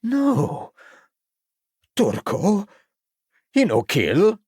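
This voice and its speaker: A man's voice: lowish voice, fairly even intonation, slow pace, vocal fry, fairly bright tone, clear, resonant tone, dry recording, studio quality, heavily acted. A man voicing an anxious foreign street violinist who speaks in broken English and refers to himself by name. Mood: pleading. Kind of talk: audiobook character voice.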